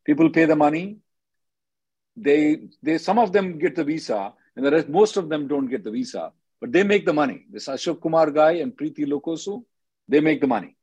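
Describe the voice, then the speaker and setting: A man's voice: a little high, lively intonation, fairly quick, darkish tone, slightly rough, dry recording, highs cut off. A man hosting a call-in as an attorney, advising callers in a blunt, directive way and often checking with "okay?".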